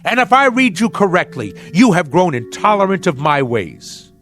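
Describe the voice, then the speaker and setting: A man's voice: high-pitched, big pitch swings, moderate pace, fairly bright tone, faint music behind. A man playing a pompous middle-aged husband obsessed with the navy, speaking in theatrical, florid nautical language in a radio drama. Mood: indignant.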